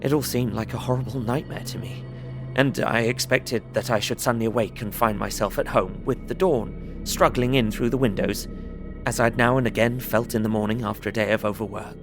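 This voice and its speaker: Young solicitor, played by a male actor for audio drama: frightened, earnest, deliberate storyteller, mid-pitched with a creaky edge. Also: close to an everyday voice. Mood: dazed. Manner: formal, expressive, deliberate storytelling. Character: frightened, earnest young English solicitor recounting his journey. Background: music behind the voice.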